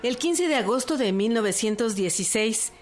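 A woman's voice: a little high, expressive, normal speed, music in the background. A woman giving scripted historical narration.

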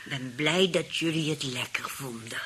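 An older woman acting in a radio play as a sly old aunt who sounds gentle, innocent and a little husky. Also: sits low, unhurried, slight background noise. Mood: sweet, innocent. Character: sly old aunt playing sweetly naive and grateful.

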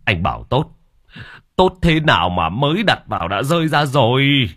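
A man's voice: on the low side, big pitch swings, a little fast, a bit bright, breathy edge, heavily acted. A man voicing an angry older villager scolding a neighbor about a faulty coffin.

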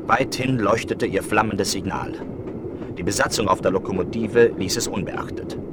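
A man's voice: lowish voice, moderate pace. A man giving dramatic scripted narration in a 1970s western radio play. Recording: noisy vinyl, loud train sound behind.

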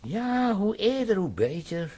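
An actress playing a bossy, brazen schemer in a radio drama, with a blunt, cajoling way of talking and a husky voice. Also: female voice, mid-range voice, big pitch swings, slow, warm tone, exaggerated voice. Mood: impatient. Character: bossy, brazen schemer staging a fake advert photo. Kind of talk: Dutch radio drama acting.

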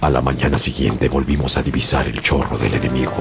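An actor narrating as a young sailor who survived a shipwreck, in grave, measured storytelling. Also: male voice, extremely low pitch, fairly even intonation, vocal fry. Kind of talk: dramatic audiobook narration. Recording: loud music bed under narration.

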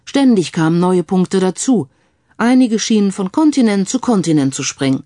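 A woman with a lowish voice narrates a scripted crime story. She reads as an audiobook narrator, recorded dry and close. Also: dark tone, no echo.

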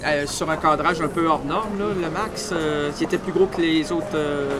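A man with a slightly high voice asks short, casual questions and keeps up a stream of agreement. He is outdoors, and a loud crowd and passing traffic carry on around him. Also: fairly even intonation, normal speed. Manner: short prompts and frequent agreeing. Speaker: interviewer. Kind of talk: street interview. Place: outdoors on a busy city street.

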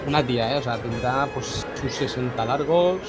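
A young man in casual podcast banter who tells stories bluntly and swears freely. His voice sits at an ordinary middle pitch. Music plays clearly underneath him. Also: normal speed. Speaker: podcast co-host. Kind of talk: casual chat.

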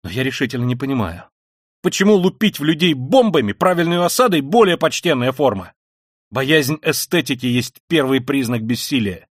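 A man reading an audiobook voices a tormented, proud and feverish young man who speaks quietly and wearily and flares into shouting rage. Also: lowish voice, normal speed, no room sound, studio quality, lightly acted. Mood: furious. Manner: quiet and weary, then bursts into shouting rage. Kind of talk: audiobook reading of a classic novel.

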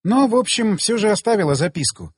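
A man's voice: sits high, lively intonation, fairly quick, husky, big-room sound, pitched above the performer's own voice. A man voicing a proud, teasing woman in an audiobook, with a casual, wry, self-assured manner. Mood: casual, confiding. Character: proud, teasing young woman, lover of the hero.

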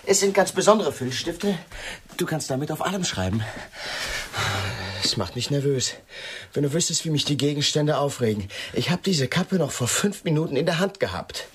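A man's voice: on the high side, expressive, normal speed, a little hiss or hum, close to an everyday voice. An actor playing a nervous, fussy, likeable man who is edgy and self-deprecating, in a German radio play. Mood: fretful.

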